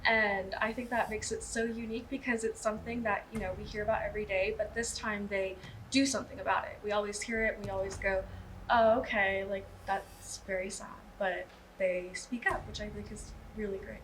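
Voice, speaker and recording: A woman's voice: medium pitch, fairly even intonation, a little fast. Woman answering casually over faint noise.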